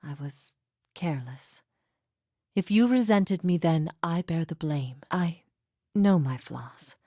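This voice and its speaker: A woman voicing a grave, forgiving heretic teacher in a fantasy game, speaking in a solemn, measured, reflective way. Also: low-pitched, animated, mellow, no room sound, clean studio sound, exaggerated voice. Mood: regretful. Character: grave, forgiving female heretic teacher, long imprisoned, philosophical. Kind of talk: scripted fantasy game dialogue.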